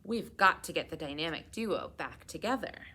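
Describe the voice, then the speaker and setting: A woman's voice: low-pitched, animated, normal speed, bright, forceful tone, heavily acted. A woman voicing an upbeat, helpful young superhero girl in a children's storybook, with a keen manner. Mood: determined.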